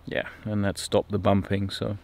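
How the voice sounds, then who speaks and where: A man casually narrating a sailing vlog from a boat, calmly explaining each step as he goes. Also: lowish voice, normal speed, vocal fry. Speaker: sailing vlogger. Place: outdoors on a boat. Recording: outdoor noise from wind and engine.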